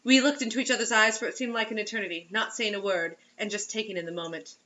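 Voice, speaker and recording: A woman's voice: medium pitch, fairly even intonation, quick pace, pushed and bright, highs cut off, a little hiss or hum. A woman giving a theatrical, giggly, mocking read-aloud of amateur fiction, with asides. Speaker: amateur reader of bad fiction.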